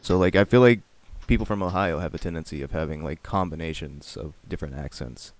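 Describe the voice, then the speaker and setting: A man's voice: a bass voice, fairly quick, no echo. A man co-hosting a podcast, explaining things patiently in casual chat, often repeating his words. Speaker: podcast co-host.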